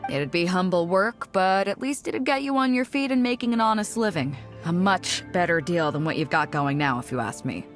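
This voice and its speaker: A woman's voice: on the low side, lively intonation, moderate pace, hardly any pauses, close to an everyday voice. An actress playing a confident, easygoing female pirate captain in a video game, with a swaggering, casual and self-assured manner. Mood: confident, generous. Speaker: video game character voice actor. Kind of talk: scripted game dialogue. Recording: clean studio voice over game music. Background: game background music.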